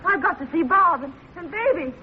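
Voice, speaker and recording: A distraught, defiant young woman, injured and frantic, in 1940s film melodrama. The voice is high and swings widely, heard through a noisy vintage radio recording. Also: animated, brisk. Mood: hysterical, defiant. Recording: tinny, noisy old radio broadcast of film sound.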